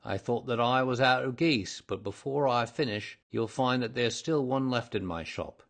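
Man voicing a loud, surly market salesman. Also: mid-range voice, normal speed, voice pushed up. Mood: boastful, goading. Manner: blustering, emphatic, combative. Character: surly, hot-tempered market poultry salesman. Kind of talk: audiobook character voice.

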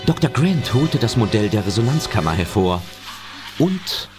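A man's voice: lowish voice, big pitch swings, normal speed. A man reading scripted narration for an adventure audio drama. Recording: loud music under the voice. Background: loud film-style music and effects.

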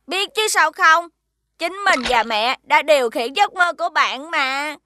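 A woman's voice: high-pitched, animated, measured pace, bright, forceful tone, a little hiss or hum, full character voice. A woman voicing a perky, helpful cartoon child companion with a childlike delivery and a Southern Vietnamese accent. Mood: playful, proud. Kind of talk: animated children's cartoon dubbing.

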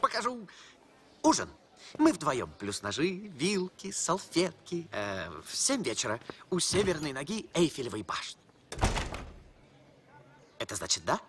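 Excitable boy, wildly swooping voice. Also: on the high side, measured pace, long pauses, a little hiss or hum, full character voice. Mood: jealous, eager. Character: excitable, awkward, jealous lovestruck boy. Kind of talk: dubbed animated film dialogue.

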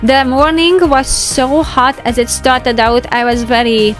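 Woman in her 30s doing a chatty home vlog voiceover, bit high, soft music under it. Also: measured pace. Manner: relaxed, chatty, with light laughs and fillers. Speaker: homemaking vlogger. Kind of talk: casual voice-over narration.

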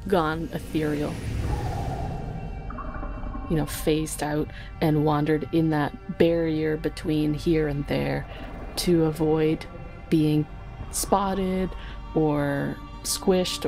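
Mellow-voiced woman playing a tabletop game. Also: low voice, creaky. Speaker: guest tabletop game player. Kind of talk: casual in-game narration.

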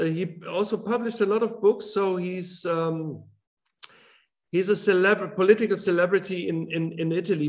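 German man, fifties, slightly high voice, halting with restarts, webcam call. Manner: halting, with many restarts and fillers. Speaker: author guest. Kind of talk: casual interview talk. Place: home room with a large drawing on the wall. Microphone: video call webcam.

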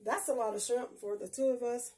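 A woman's voice: on the high side, fairly even intonation, a little fast, noisy background. Blunt, chatty woman in a kitchen. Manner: chatty, blunt, cusses freely, addresses viewers as y'all. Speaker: vlogger. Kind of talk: casual grocery haul vlog. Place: small home kitchen. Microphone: phone camera a few feet away.

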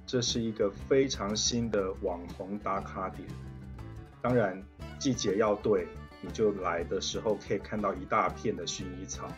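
Low-voiced man narrating over guitar music. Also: steady tone, normal speed. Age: middle-aged. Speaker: travel vlogger. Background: plucked guitar music.

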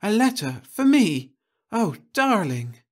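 A man's voice: sits high, very animated, slow pace, pitched above the performer's own voice, full character voice. A man voicing a dreamy young woman who speaks breathily in amazement. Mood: breathless.

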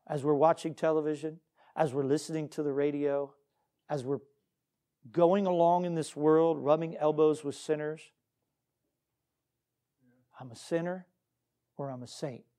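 A male pastor delivers a church sermon in a fairly high, mellow voice. He is earnest and exhorting, moving between scripture and direct questions to the congregation. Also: steady tone, unhurried, pauses often. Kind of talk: Baptist sermon with scripture reading.

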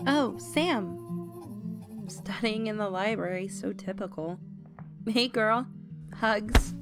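A woman's voice: mid-range voice, takes it slowly, over music. A woman playing a friendly, loyal, earnest teenage schoolgirl in an audio drama.

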